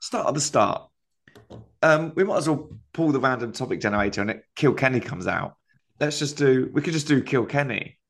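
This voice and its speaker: A man's voice: medium pitch, expressive, a little fast. Rambling, laughing podcast storyteller man. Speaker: podcast co-host, comedian. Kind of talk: casual chat.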